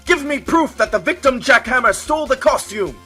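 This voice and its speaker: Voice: sits high, animated, fairly quick, music in the background. A performer playing a haughty, indignant male prosecutor with a scornful manner in an amateur game fandub. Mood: outraged.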